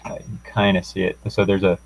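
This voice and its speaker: Young male student presenter, deep and monotone, hesitant and unscripted technical demo delivery. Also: fairly quick, creaky, slight background noise, low-quality recording. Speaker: student presenting a class project.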